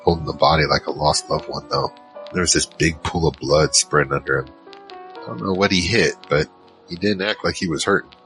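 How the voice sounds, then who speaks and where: A man's voice: very deep voice, brisk, a bit bright, rough recording. A man playing a battle-worn gunfighter who narrates his own story in an audio drama, terse and world-weary. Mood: grim, drained. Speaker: narrator and lead character. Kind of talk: first-person noir-like audio drama narration. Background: music.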